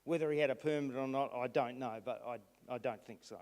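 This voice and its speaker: A man addressing a council meeting, recounting events haltingly with restarts. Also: mid-range voice, a little mellow. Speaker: resident speaking at council meeting. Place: council chamber. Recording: long reverb in a hall.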